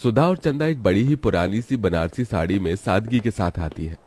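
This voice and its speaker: Low, mellow male story narrator. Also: expressive, normal speed, slight background noise.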